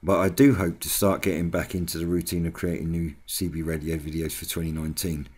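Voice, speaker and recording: Deep-voiced British man, hobby channel host. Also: flat delivery, brisk, darkish tone, husky. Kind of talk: scripted voice-over update.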